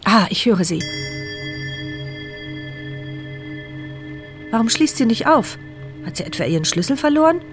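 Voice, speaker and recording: An actress voicing a frightened woman in a wheelchair who narrates her own ordeal in a hushed, tearful first-person radio-play monologue. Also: on the high side, very animated, quick pace. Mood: anxious. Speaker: narrator and lead character. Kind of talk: radio drama inner monologue. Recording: radio play with loud music bed.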